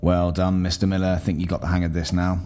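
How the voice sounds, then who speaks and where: A man's voice: deep voice, completely flat delivery, fast talker, warm tone, faint music behind. A man hosting a podcast interview, asking questions with dry, teasing sarcasm.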